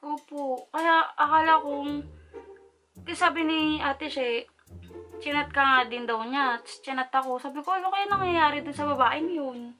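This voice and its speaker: A young woman from the Philippines making a prank phone call for her vlog, telling a halting made-up story with lots of pauses and restarts. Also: high voice, steady tone, pushed and bright, soft background music. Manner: hesitant, stumbling fake story, then giggly. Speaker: vlogger making prank calls.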